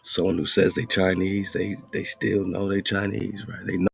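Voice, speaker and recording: A male internet radio host talks in a low, gravelly register with a husky edge. He comments on an article in a loose, preachy way and laughs between points. Faint music plays underneath. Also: little pitch movement, normal speed. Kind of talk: casual commentary over a read-aloud article.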